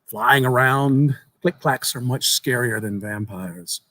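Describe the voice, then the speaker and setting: A man's voice: mid-range voice, takes it slowly, a bit bright. Man voicing an eerie, precocious little boy. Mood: matter-of-fact, insistent. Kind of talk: dramatic reading of a horror short story.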